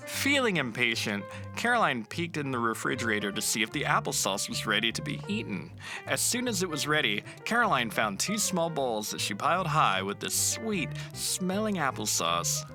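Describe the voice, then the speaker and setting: A man narrating a children's picture book aloud in an expressive, storytelling way. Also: mid-range voice, moderate pace, over music.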